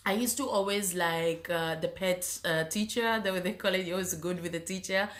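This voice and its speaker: A woman from Zanzibar giving a personal testimony about her faith, talking haltingly with many fillers and restarts, often clearing her throat and coughing. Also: mid-range voice. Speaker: speaker sharing her faith story.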